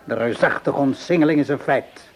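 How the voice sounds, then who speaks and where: An actor playing a middle-aged, cynical Parisian tavern keeper in a radio play, speaking in a weary, sardonic, measured way. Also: male voice, mid-range voice, gravelly, close to an everyday voice. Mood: scornful, sardonic. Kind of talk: Dutch radio play acting. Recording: noisy old radio play recording.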